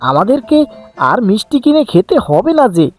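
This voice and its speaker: A woman's voice: on the high side, very animated, moderate pace, faint music behind. A woman voicing an eager, affectionate poor village father in a cartoon fairy tale. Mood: pleased, hopeful.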